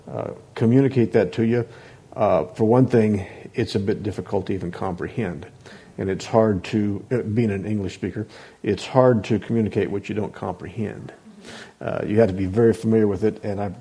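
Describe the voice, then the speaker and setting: A man leading a Bible class into a microphone, talking hesitantly with many restarts and fillers and clearing his throat often. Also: fairly low pitch, mellow. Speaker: Bible teacher. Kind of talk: informal Bible class talk. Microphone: microphone on a sound system.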